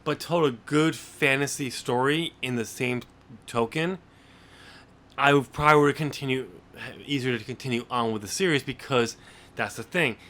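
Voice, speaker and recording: A man who hosts a podcast, talking casually about books in a halting way and often restarting his sentences mid-thought. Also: medium pitch, a bit bright. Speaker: podcast host and novelist. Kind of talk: casual book discussion.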